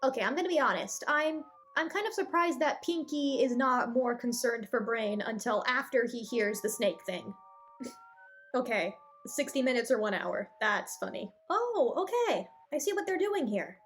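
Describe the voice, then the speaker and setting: A woman reviewer giving casual, opinionated cartoon commentary with animated asides and exclamations. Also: fairly high pitch, moderate pace, a little hiss or hum. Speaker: video reviewer.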